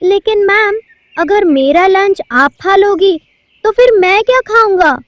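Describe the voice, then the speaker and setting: A woman's voice: very high voice, expressive, a little slow, dry recording, clean studio sound, pitched above the performer's own voice. Woman doing a meek little boy pigeon. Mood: pleading. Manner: childlike, pleading. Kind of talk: cartoon voice acting.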